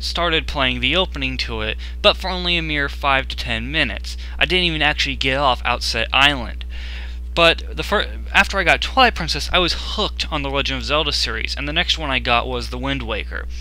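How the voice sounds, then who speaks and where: A young man with a very bright, sharp-edged voice talks through a countdown of game clips. His pitch sits in the middle, and he rambles casually and eagerly, backing up to correct himself. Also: rough recording. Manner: rambling, self-correcting, enthusiastic. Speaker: video game YouTuber.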